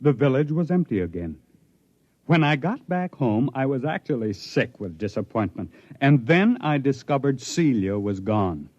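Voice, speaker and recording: Haunted, obsessive stranded captain played by a male actor, brooding storyteller, highly animated pitch, dull vintage radio sound. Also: dry recording, natural voice. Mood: bitter regret. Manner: dramatic storytelling with brooding pauses. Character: stranded male spaceship captain recounting his alien ordeal, haunted, obsessive. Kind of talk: 1950s radio drama acting.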